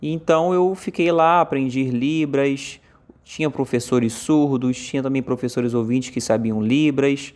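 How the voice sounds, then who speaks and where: A Brazilian man interpreting a deaf speaker's talk aloud in first person, in short halting phrases with frequent fillers. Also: mid-range voice, darkish tone. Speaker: sign language voice interpreter.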